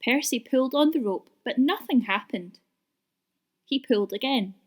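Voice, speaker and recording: A woman reading a children's story aloud in an expressive, deliberate way. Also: sits high. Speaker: storybook reader.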